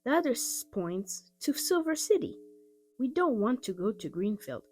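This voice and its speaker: A woman's voice: on the high side, very animated, normal speed, a little mellow, faint music behind. A woman voicing a responsible, cheerful older brother in a children's storybook, reassuring and instructing. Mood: bright, pleased.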